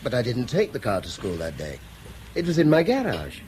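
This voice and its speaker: An actor playing a kindly, wry schoolteacher in a radio drama, with a British accent and an educated, slightly hesitant manner. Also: male voice, mid-range voice, wildly swooping pitch, a little fast, a little mellow, slight background noise. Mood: exasperated, incredulous. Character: kindly, self-deprecating male schoolteacher, easily flustered. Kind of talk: naturalistic radio drama acting.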